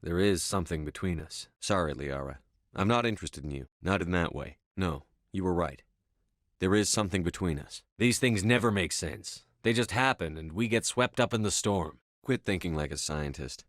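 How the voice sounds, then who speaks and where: A man's voice: low-pitched, expressive, moderate pace, a bit bright, no room sound, clean studio sound. A man voicing a resolute, earnest human starship commander in a video game, firm and clipped in his delivery. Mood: soft, intimate. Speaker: voice actor. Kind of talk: video game dialogue voice acting.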